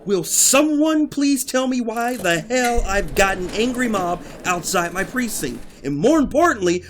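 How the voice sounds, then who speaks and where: A woman's voice: fairly high pitch, sing-song, a little slow, slight background noise. A woman playing an exasperated, fed-up police officer in an audio drama.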